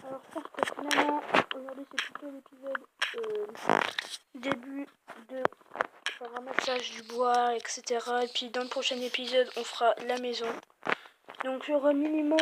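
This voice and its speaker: High-voiced woman with a southern French accent. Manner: rambling, chatty, many hesitations. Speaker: let's play host. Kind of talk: casual gameplay commentary. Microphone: phone microphone. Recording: loud noise on a glitchy phone mic.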